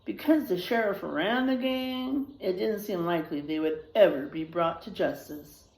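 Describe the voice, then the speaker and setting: A woman reading a children's story aloud in a dramatic, animated narrating style. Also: fairly low pitch, normal speed. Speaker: storybook reader. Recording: roomy, echoing sound.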